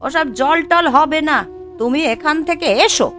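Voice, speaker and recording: Casting: female actor for demanding well-to-do cartoon housewife, high-pitched, haughty and boastful. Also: normal speed, a bit bright, soft background music. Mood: scornful. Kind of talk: Bengali cartoon dialogue.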